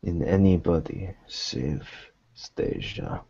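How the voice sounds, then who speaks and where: A man speaks in a low, dark voice with long deliberate pacing, choosing formal words as he role-plays a character. The audio is slightly dull and lo-fi. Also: lively intonation, gravelly, slightly rough. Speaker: role-playing gamer voicing a private investigator. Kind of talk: slow in-character improvised dialogue.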